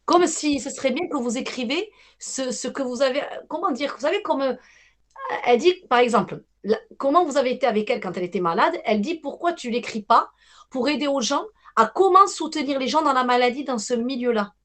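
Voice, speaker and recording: Woman, medium on a video call, higher voice, hesitant, repeats phrases, reassuring. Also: animated, brisk, a bit bright. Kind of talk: live medium reading with callers.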